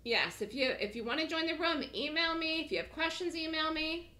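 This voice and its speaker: A woman teaching a trading course on a webinar from her home office, chatty and slightly hoarse from a cold, checking in with "okay?" as she goes. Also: high voice, brisk, bright, forceful tone. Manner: chatty, repeats phrases, frequent "okay?" check-ins. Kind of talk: webinar sales pitch.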